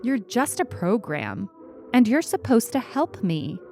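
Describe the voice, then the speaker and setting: A woman reader puts on an exasperated schoolgirl in an audio story. She is pitched up, stubborn and insistent, with clipped demands. Light music runs beneath the voice. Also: very animated, measured pace, a little mellow. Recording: music under the reading.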